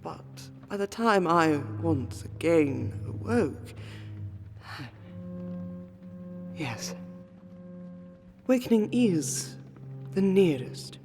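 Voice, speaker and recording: A woman playing an exhausted, grieving, centuries-old noble lady vampire in a fantasy audio roleplay, speaking formally and haltingly, sometimes dropping to a breathy whisper. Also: on the low side, lively intonation, darkish tone, full character voice. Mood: grieving, solemn. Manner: formal archaic diction, halting, sighing, slipping into breathy whispers. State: exhausted and pained. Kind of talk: fantasy roleplay audio drama, intimate monologue. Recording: music behind the voice. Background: music.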